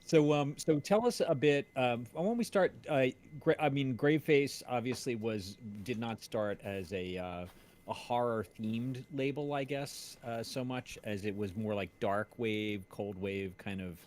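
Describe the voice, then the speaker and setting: A man's voice: medium pitch, unhurried, a little mellow, a little hiss or hum. A man hosting a casual, conversational interview, asking the questions. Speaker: interviewer and show host.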